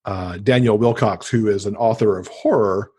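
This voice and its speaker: A man's voice: fairly low pitch, normal speed, dark tone, husky. A man talking casually as a guest in an interview, chatty and enthusiastic about books. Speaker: podcast guest; podcast host and author.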